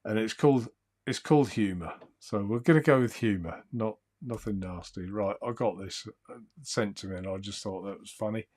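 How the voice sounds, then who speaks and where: A man hosting a live stream, chatting in a calm, soothing, easygoing way and reading out viewer comments. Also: lowish voice, normal speed. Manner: rambling, easygoing, chuckles often. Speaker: livestream host.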